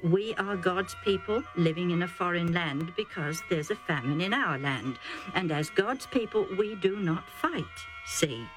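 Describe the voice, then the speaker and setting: A woman voicing a calm, firm, elderly blind male chieftain who speaks slowly. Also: on the low side, music in the background, poor audio.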